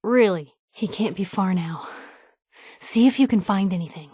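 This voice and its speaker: A young woman with an American accent playing a plucky, wisecracking reporter companion in a video game, with a wry, expressive delivery. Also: mid-range voice, normal speed, breathy edge, no room sound, studio quality, natural voice. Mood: curious, wry. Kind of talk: video game voice acting, in-character banter.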